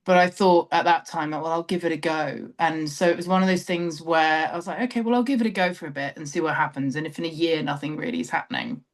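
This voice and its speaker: A woman's voice: low-pitched, steady tone, fast talker, hardly any pauses. Reflective southern English woman in conversation. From London, England. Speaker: interview guest, theatre director. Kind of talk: conversational interview, personal recollection.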